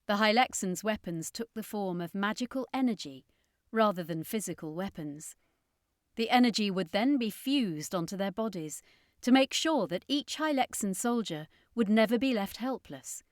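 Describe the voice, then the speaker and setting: A woman narrates an adventure audiobook in a dramatic, scripted storytelling style. Her voice sits at a middle pitch for a woman, recorded very dry with hardly any room sound. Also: animated, moderate pace, no room sound.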